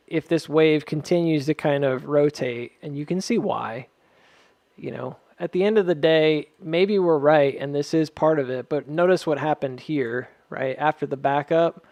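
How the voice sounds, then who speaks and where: A man's voice: on the high side, a little slow, darkish tone, dry recording. A man giving casual, unscripted market commentary as a host, hedging and thinking aloud as he checks in with his listeners. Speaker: host of a stock chart analysis video.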